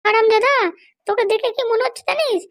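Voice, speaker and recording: A woman's voice: very high voice, fast. A woman voicing a bossy, vengeful female politician and scolding wife in a comedy cartoon, haranguing and boastful. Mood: furious scolding.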